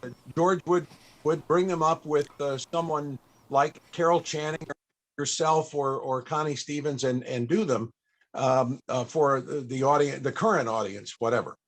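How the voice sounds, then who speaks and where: A man's voice: a little high, fairly even intonation, normal speed, low-quality recording. A man hosting a casual panel interview over a video call, feeling his way through meandering questions.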